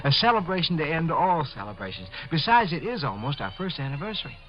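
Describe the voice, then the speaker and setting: An actor playing a playful, doting rocket captain husband with an American accent in a 1950s radio drama, theatrical and teasing. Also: male voice, a little high, big pitch swings, fast talker. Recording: muffled old radio recording with music.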